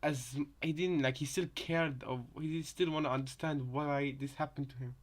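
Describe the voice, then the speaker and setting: A man's voice: a little high, fairly even intonation, moderate pace. Rambling male reactor, casual commentary. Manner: rambling, repeats and reworks phrases. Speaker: reaction video host.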